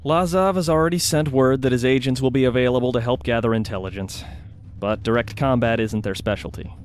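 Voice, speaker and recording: A man playing a brisk, weary wizard leading a war council in an audio drama, measured and businesslike. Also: medium pitch, a little hiss or hum, natural voice. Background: background music.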